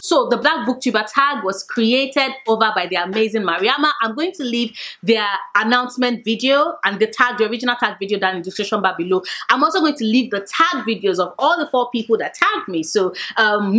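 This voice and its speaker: A woman's voice: a little high, sharp tone. A talkative, playful woman in her late twenties chatting to camera about books. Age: 20s. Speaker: book vlogger. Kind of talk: casual chat to camera.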